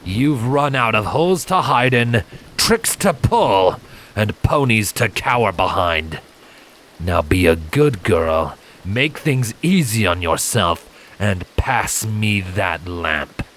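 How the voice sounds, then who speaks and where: A man voicing a cold, threatening male pony assassin leader in a story reading, speaking slowly with a low warning tone. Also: lively intonation, fairly bright tone, slight background noise. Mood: taunting menace. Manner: slow, low, warning delivery.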